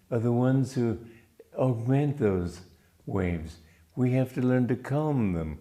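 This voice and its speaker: Calm older man, mellow voice. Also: on the low side. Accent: mixed English-American. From Romania. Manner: calm, measured, storytelling. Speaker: spiritual teacher. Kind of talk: spiritual talk.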